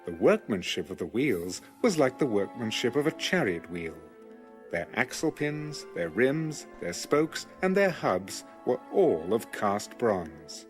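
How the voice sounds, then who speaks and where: A man reading scripture as an audiobook narrator in a measured, formal manner. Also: lowish voice, very animated, soft background music.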